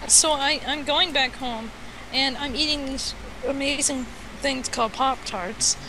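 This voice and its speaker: A high voice over a clearly noisy internet call, spinning a casual tall tale. The delivery is playful and halting, doubling back in mid-sentence as the rambling story goes on. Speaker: guest storyteller on a gaming voice call. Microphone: Skype call.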